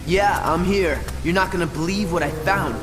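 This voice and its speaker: A man's voice: high voice, moderate pace, long reverb tail, natural voice. An actor playing a cocky, sarcastic teenage tough guy in a video game cutscene. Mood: worried. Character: cocky tough teen boy, sarcastic loner. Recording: loud game music behind.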